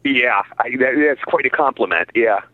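A man in his sixties, an actor guest in a casual interview, telling stories excitably and repeating his phrases. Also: medium pitch, moderate pace, a little hiss or hum. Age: 60s. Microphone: phone. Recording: tinny phone line.

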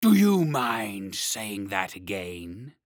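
A man voicing a huge iron-armored dragon that talks slowly, politely and slyly, with a spluttering voice. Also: fairly low pitch, big pitch swings, no room sound, clean studio sound. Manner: slow and drawn out.

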